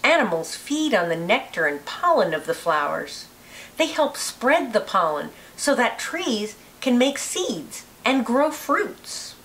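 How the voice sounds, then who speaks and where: A woman reading a children's picture book aloud with deliberate, expressive phrasing. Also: a little high, low-quality recording. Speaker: read-aloud narrator, teacher.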